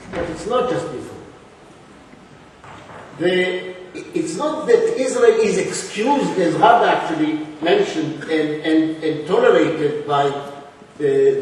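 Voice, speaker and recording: A man's voice: high voice, a little mellow. Older man lecturing in an echoey hall. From Palestine. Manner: measured, thoughtful, many hesitations and restarts. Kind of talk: public lecture. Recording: echoey hall recorded from far off.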